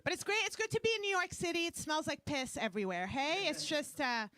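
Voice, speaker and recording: A woman's voice: sits high, very animated, fairly quick, bright, forceful tone. A woman from Canada with a Brooklyn-sounding accent, performing a stand-up comedy set on a club stage, delivering brash, self-mocking punchlines into a handheld mic. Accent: Brooklyn-sounding English. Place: club stage before a live audience.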